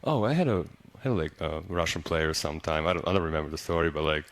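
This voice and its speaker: Young man, Serbian native speaker, very low voice, relaxed, filler-heavy, college athlete interview. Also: fast talker. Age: young adult. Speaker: college basketball player. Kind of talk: casual interview.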